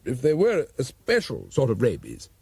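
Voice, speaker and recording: A man voicing a cautious, scheming assistant police commissioner who speaks in a measured, dry way. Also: mid-range voice, huge pitch swings, vocal fry, dark tone, breathy edge, exaggerated voice.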